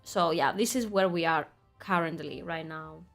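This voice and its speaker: A woman's voice: deep voice, normal speed, soft background music. A young woman streaming a game, chatting casually with her viewers, laughing easily and reading quest text aloud. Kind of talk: casual gaming commentary.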